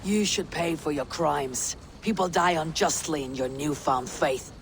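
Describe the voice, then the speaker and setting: A woman's voice: low voice, measured pace, slight background noise. A woman playing a proud, blunt female Viking warrior in a video game, dry and stern in manner. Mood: scornful. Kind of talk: video game cutscene acting.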